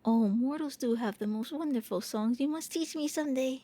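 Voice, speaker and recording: A shy, eager vampire prince voiced by a woman speaks in a mellow, fairly high voice. The delivery is gentle and intimate, a soft ASMR roleplay monologue with a hesitant, boyish courtesy. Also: normal speed, dry recording. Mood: wistful, curious. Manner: gentle, hesitant, intimate, stammering when flustered.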